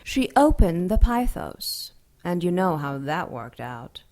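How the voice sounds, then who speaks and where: An ancient female dark angel, imperious and sardonic. Her delivery is deliberate and theatrical, with pitch that rises and dips freely. She taunts and condescends as if everyone else were beneath her, in audio drama style. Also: very animated, heavily acted. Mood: haughty lecturing.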